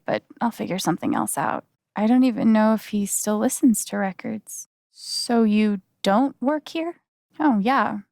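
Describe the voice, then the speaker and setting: Young woman, mid-pitched, unhurried, friendly, wry, conversational game dialogue, very dry studio sound. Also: gravelly, darkish tone, dry recording. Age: young adult. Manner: casual, dryly joking, conversational. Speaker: video game voice actress.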